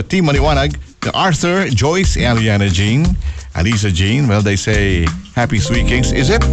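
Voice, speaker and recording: Male radio DJ, animated and fairly high-voiced, playful ad-libbed patter, loud music behind. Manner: playful, joking asides. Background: loud pop music.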